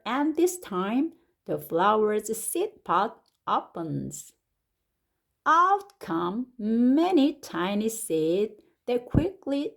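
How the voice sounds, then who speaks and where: This is a woman with a Korean accent reading a children's story in English. She goes at a very unhurried pace and stretches out each word. Her slightly high voice swoops up eagerly whenever something exciting happens. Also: vocal fry. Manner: slow and deliberate, stretching words, with lively rises on exclamations. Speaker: English picture-book reader and teacher. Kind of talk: slow, expressive storybook read-aloud.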